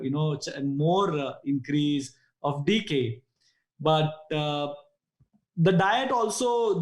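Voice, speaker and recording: Indian-accented dentist guest, somewhat high voice, hesitant and filler-heavy, echoey room. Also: male voice, darkish tone. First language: Konkani. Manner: hesitant, frequent "you know" fillers. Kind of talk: casual interview answers.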